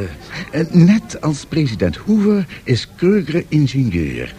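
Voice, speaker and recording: Eager excitable finance man, swoopy intonation, bit hesitant, seventies radio drama. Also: mid-range voice, a little hiss or hum. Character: excitable, impressed male financier citing press clippings. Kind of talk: 1970s Dutch radio drama acting.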